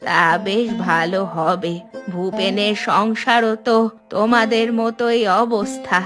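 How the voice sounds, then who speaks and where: Cartoon village matriarch, played by a woman: elderly, fretful, slow and drawn-out, light music underneath. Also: medium pitch, flat delivery, natural voice. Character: elderly village mother-in-law, gently fretful about her sons. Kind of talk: cartoon voice acting.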